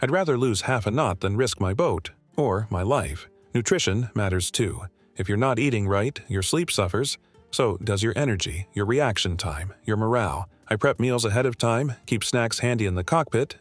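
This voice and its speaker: A man narrating a scripted voice-over about solo sailing, in a calm and measured explanatory way. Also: lowish voice.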